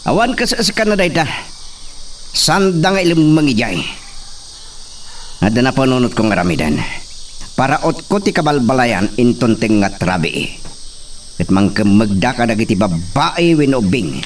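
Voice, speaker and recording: A man's voice: medium pitch, big pitch swings, moderate pace, pauses often, close to an everyday voice. A man with an Ilocano Filipino accent acting a male character in a rural love-and-revenge radio-style drama. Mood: cold, scheming. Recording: distant mic, faint background noise with insects.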